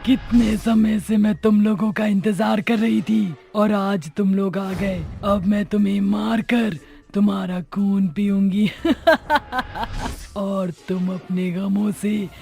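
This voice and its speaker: A woman plays a bloodthirsty witch from an animated moral tale. She speaks slowly and theatrically in a gravelly creak, gleeful and menacing, with faint music underneath. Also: mid-range voice. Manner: theatrical, drawn-out menacing delivery with cackles. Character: gleeful bloodthirsty witch who craves liquor. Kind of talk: animated moral story dialogue.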